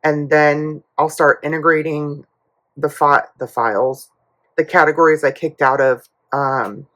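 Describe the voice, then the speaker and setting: A hoarse woman telling a rambling family story in a casual budgeting vlog, full of fillers and asides. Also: low-pitched, steady tone, a little slow, fairly bright tone, low-quality recording. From United States. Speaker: budgeting YouTuber. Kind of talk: casual chatty vlog while cash stuffing.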